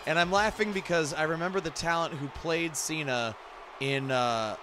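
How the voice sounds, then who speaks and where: A man's voice: on the high side, normal speed. A man giving casual, off-the-cuff commentary over wrestling game cutscenes in a conversational way. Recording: clear background noise from game audio. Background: wrestling game cutscene audio.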